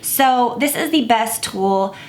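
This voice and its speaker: A woman's voice: fairly high pitch, takes it slowly. A woman giving a casual makeup tutorial, chatty and rambling with many fillers, a bit stuffy and scratchy. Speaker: makeup vlogger.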